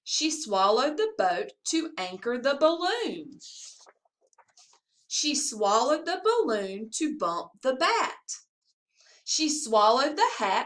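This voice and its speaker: A woman reading a picture book aloud to young children in an expressive, sing-song storytelling manner. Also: high-pitched. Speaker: assistant principal reading to pupils.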